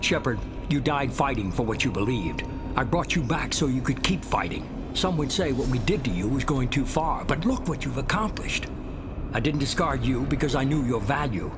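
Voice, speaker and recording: A man playing a calculating, ruthless middle-aged mastermind in a video game, persuading with measured authority. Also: mid-range voice, expressive, over music, lightly acted. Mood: cold insistence. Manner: measured, smooth-talking, authoritative.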